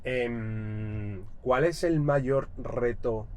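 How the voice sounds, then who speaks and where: A man hosting a relaxed interview in a car, agreeable and quick with short replies of assent. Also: medium pitch, slight background noise. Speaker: host, interviewer. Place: inside a car. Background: music.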